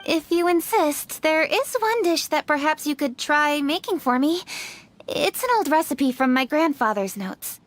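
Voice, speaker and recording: A woman's voice: high-pitched, lively intonation, unhurried. A woman voicing a poised, serious young noblewoman in a video game, speaking in a composed, formal way.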